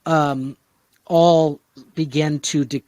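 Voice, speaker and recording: A man casually brainstorming on a discussion podcast, talking in a halting way with vague, trailing asides. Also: a little high, steady tone, darkish tone, dry recording, muffled recording. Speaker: podcast co-host pitching story ideas.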